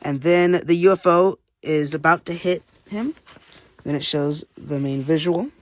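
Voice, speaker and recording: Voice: mid-range voice, a little slow. A person reading their own comic aloud with casual, rambling commentary and unsure asides. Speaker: comic creator and narrator.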